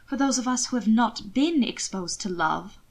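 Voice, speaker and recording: Woman reading as a prim, prickly young gentleman. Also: fairly high pitch, expressive, normal speed. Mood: bitter, guarded. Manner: stiff, formal, defensive. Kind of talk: fiction read aloud, character dialogue.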